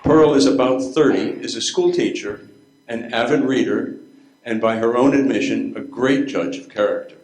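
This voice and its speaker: A man's voice: medium pitch, normal speed, a little mellow, soft background music. An older man reading scripted narration as the narrator of a readers theater play. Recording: echoey room.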